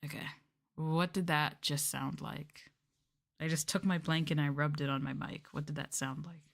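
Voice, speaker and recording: Girl streamer doing chill asmr chat, lowish voice, giggly and kinda unsure, up close on mic. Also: steady tone, moderate pace, vocal fry. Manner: playful, giggly, hesitant. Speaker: VTuber streamer. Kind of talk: casual ASMR livestream chat.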